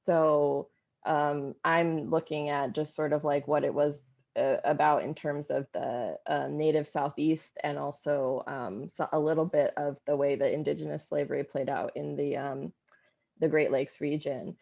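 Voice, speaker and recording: A woman on a panel speaks with a very low, gravelly voice, picking her words slowly and filling gaps with soft hesitations. The sound comes through a video call and is dull and lo-fi. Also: fairly even intonation. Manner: thoughtful, halting, many fillers. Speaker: panelist, philosopher. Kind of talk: academic panel answer.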